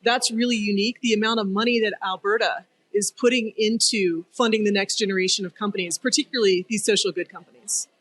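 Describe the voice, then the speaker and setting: A woman's voice: mid-range voice, steady tone, fast talker, hardly any pauses, fairly bright tone, low-quality recording. A woman speaking conversationally as an accelerator coach being interviewed.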